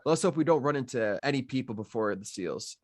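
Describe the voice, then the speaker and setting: A middle-aged drifter played by a man in a post-apocalyptic western screenplay read. He is sardonic and worn down, talking to his buddy with a very animated, swooping delivery. The recording is dry. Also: mid-range voice, brisk, dry recording, natural voice. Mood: rueful, chatty. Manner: sardonic, weary, rambling to his companion. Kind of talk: acted table read of a screenplay.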